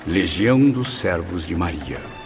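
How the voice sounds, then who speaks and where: Deep, emotive tormented soul recalling his journey. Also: lively intonation, measured pace, vocal fry, exaggerated voice. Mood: moved recollection. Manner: theatrical, formal, emotive. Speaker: actor and first-person narrator in a radio drama. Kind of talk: radio drama acting, literary first-person narration. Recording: background music under the voice.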